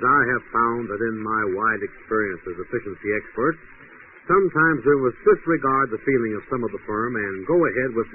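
An actor playing a wordy, self-assured efficiency expert, formal and pompous, in 1920s radio comedy style. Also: male voice, medium pitch, natural voice. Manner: pompous, formal, long-winded. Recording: tinny, noisy 1920s radio recording.

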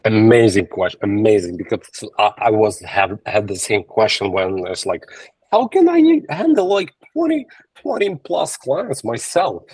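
A man's voice: lowish voice, measured pace, slightly rough, dry recording, poor audio. Ukrainian-accented man on a video call. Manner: animated storytelling in simple non-native English, frequent restarts. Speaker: agency owner, podcast guest. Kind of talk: casual interview chat. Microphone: video call from a laptop or phone camera.

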